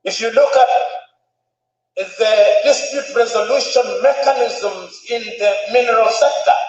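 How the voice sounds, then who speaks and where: A man's voice: very high-pitched, measured pace, slight background noise. Emphatic man orating in hall. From Tanzania. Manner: emphatic, oratorical, repeats phrases for stress. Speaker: conference speaker. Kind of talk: formal public speech. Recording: echoey hall, distant dull mic.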